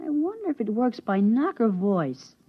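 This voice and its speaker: A man's voice: extremely high pitch, big pitch swings, normal speed, noisy background. An actor playing an eager, cheeky thirteen-year-old boy in a 1950s science fiction radio drama, with brash boyish slang. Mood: brash, defiant. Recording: muffled vintage 1950s radio recording.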